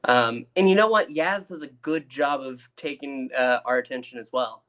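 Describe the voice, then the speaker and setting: A man co-hosting a casual review podcast, thinking aloud in a halting way with frequent restarts. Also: medium pitch, a bit bright.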